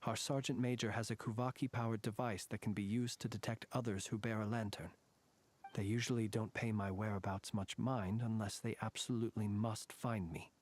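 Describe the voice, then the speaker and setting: An actor voicing a formal, world-weary soldier-scholar who talks in a wordy, dryly wry way. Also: male voice, fairly low pitch, steady tone, brisk, darkish tone, close to an everyday voice. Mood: thoughtful. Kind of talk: game voice acting.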